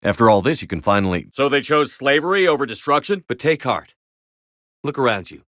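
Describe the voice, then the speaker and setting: A man's voice: medium pitch, expressive, brisk, a bit bright, no echo, clean studio sound, lightly acted. An actor playing a determined, stoic male military commander in a science fiction video game, with a terse, commanding way of talking. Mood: earnest, resolute. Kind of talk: scripted video game dialogue.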